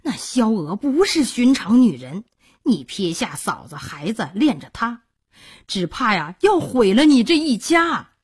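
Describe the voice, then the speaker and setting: A woman's voice: a little high, big pitch swings, a little slow, slightly rough, voice pushed up, exaggerated voice. A woman storyteller playing a blunt, concerned male colleague with an earnest, admonishing manner.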